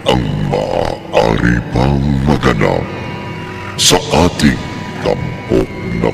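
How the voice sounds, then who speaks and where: A man with a gravelly voice narrating a horror radio drama in an ominous, theatrical, slow style. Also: extremely low pitch, expressive, loud music behind the voice.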